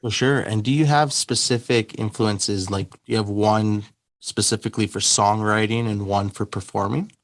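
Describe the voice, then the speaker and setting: A man's voice: lowish voice, fairly even intonation, moderate pace, dry recording. A man hosting a casual interview, upbeat, asking questions with some restarts. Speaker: interview host.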